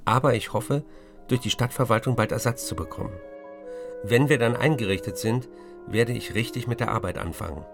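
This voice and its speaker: A man's voice: mid-range voice, brisk, vocal fry, music in the background, natural voice. An actor reading letters as a harried, wry postwar male writer in a radio drama.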